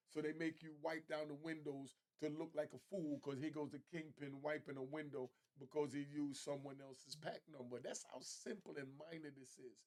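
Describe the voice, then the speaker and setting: Middle-aged man, slightly high-pitched, gravelly creak, casual host commentary, street slang, conversational explaining. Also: darkish tone.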